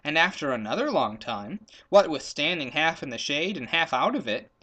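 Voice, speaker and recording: A man's voice: on the high side, sing-song, normal speed, sharp tone. A man reading a children's story aloud expressively, with playful emphasis on rhythmic lists of words.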